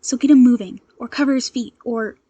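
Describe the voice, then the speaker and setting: A high, mellow boyish voice put on by a woman reader. He is an earnest, quick-thinking young secretary in an audio story, anxious and keen to help. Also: husky, highs cut off. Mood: focused, urgent. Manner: hesitant stammer turning to brisk instructions.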